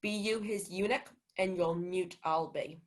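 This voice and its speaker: A woman's voice: on the low side, a little slow. A student actress playing a loyal, courteous male sea captain in a Shakespeare radio play.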